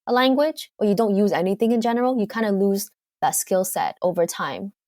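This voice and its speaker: A woman's voice: medium pitch, brisk, darkish tone, dry recording, studio quality. A woman from the United Kingdom with a Canadian accent, a guest in a casual interview, talking thoughtfully and hesitantly with lots of fillers.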